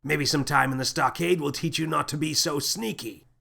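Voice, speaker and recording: A man's voice: a little high, moderate pace, creaky, pushed and bright, pitched above the performer's own voice, exaggerated voice. A man voicing a prideful, impulsive space captain in an audio story, stern, scowling and suspicious. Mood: suspicious, mocking.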